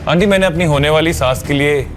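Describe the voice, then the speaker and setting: An actor playing a confident young suitor in an audio drama, calm, assured and politely cutting. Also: male voice, fairly high pitch, quick pace, music in the background, close to an everyday voice. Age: young adult. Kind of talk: Hindi audio drama acting.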